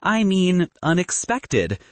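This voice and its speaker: A man's voice: sits high, very animated, no echo, pitched above the performer's own voice, heavily acted. Man voicing a flustered, hesitant young physics student. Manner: halting, hesitant. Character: flustered, spineless male physics student, logical and awkward.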